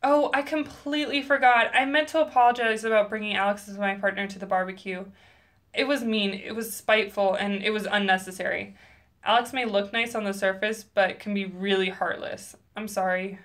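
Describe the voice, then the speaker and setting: A woman's voice: mid-range voice, fairly even intonation, a little fast, a bit bright, lightly acted. A woman playing a friendly, earnest teenage student in an audio drama.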